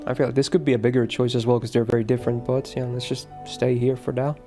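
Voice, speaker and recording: A man's voice: mid-range voice, level tone, fast talker, mellow, soft background music. A man from Finland with a slight Finnish accent, chatting casually with his audience while streaming a game. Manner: chatty, answers chat, self-aware asides.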